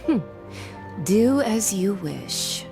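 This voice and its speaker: An actress playing a haughty, mocking aristocratic villainess in a fantasy game, condescending and poised. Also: female voice, mid-range voice, sing-song, very slow, vocal fry, exaggerated voice. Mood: cold dismissal. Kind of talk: scripted fantasy game dialogue. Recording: game soundtrack music behind.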